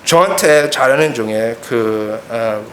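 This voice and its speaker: A man in his late twenties from the United States with an American accent, preaching haltingly in simple phrases and searching for words. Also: medium pitch, a bit bright. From United States, Korean immigrant family. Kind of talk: sermon with personal anecdotes. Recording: echoey room, clear background noise.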